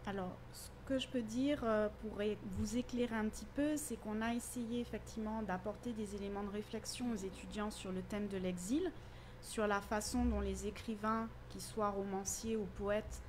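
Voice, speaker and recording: A woman teacher giving measured, articulate explanations in a radio interview. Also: mid-range voice, steady tone, slight background noise. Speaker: university teacher.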